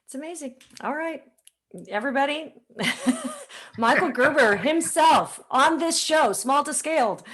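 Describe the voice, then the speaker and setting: A woman's voice: high-pitched, very animated, measured pace, a bit bright. Woman hosting a conversational podcast interview. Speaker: podcast host.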